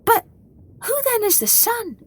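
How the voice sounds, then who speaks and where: A woman's voice: very high-pitched, big pitch swings, measured pace, pitched above the performer's own voice, exaggerated voice. A woman voicing a tiny, naive, yearning goldfish in a storybook, full of wide-eyed, eager questions. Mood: wondering.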